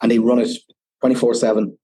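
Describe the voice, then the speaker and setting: A man's voice: medium pitch, little pitch movement, fast talker, a crowd in the background. A man with an Irish accent, a whiskey ambassador guest on a podcast, explaining at length and often saying "you know". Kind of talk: casual podcast interview.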